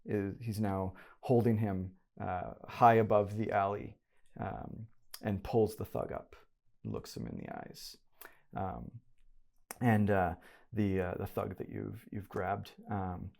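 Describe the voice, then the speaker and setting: A man narrating a tabletop game as game master, haltingly, searching for his words as he improvises. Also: fairly low pitch, vocal fry, a little mellow.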